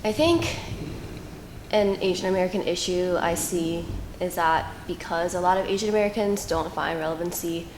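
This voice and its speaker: A woman's voice: on the low side, normal speed, echoey room, background noise. A young woman, a student, giving earnest, thoughtful interview answers and building her points step by step. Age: young adult. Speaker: scholarship finalist.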